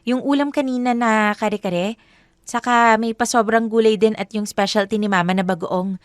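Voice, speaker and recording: Woman acting as high-voiced loyal young girlfriend. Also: steady tone, normal speed, dry recording, lightly acted. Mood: casual, friendly. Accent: Filipino. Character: young woman defending her boyfriend, firm and loyal. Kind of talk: Filipino radio drama acting, Taglish.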